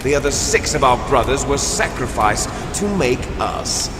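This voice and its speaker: Theatrical villain soldier, swooping voice. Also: male voice, mid-range voice, big pitch swings. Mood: bitter. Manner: menacing, declamatory, dramatic pauses. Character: bitter, grandiose male villain soldier, theatrical and taunting. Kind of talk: dramatic video game voice acting. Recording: echoey hall reverb under loud music.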